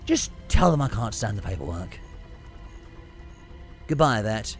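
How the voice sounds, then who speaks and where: A man's voice: fairly low pitch, very animated, unhurried, soft background music. A man playing a playful, flippant time-travelling adventurer in an audio drama. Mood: warm farewell. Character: the Doctor, playful male time-travelling adventurer, quick and evasive.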